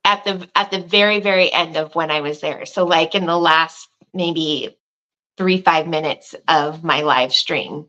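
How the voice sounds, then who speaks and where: A woman's voice: deep voice, a little slow, dull audio. A woman who protests and livestreams, telling a rambling story in casual chat on a video call. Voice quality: loud voice.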